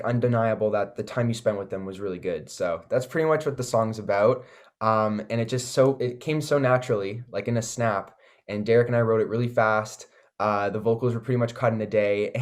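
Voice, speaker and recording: A young man with a Canadian accent chatting casually as an interview guest, laid-back and slangy. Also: lowish voice. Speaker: singer-songwriter guest.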